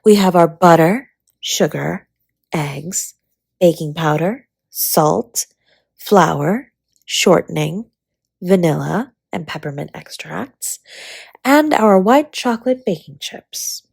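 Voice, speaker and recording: A woman playing a flirty, affectionate dragon baker spouse in a romantic roleplay audio, with a breathy, husky, teasing delivery. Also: fairly low pitch, expressive, slow, a little mellow, no room sound, heavily acted. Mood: playful, instructive. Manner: teasing, intimate, often slipping into breathy whispers.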